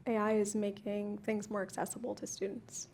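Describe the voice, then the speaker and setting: A woman's voice: medium pitch, completely flat delivery, moderate pace, vocal fry, a little mellow, a little hiss or hum. A woman moderating a panel, asking brief, friendly questions. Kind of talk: panel discussion.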